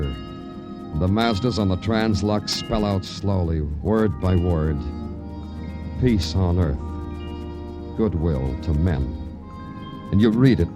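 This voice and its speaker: An actor playing a world-weary, wry police detective, measured and understated, in old radio noir style. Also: male voice, deep voice. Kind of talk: 1950s radio noir acting and narration.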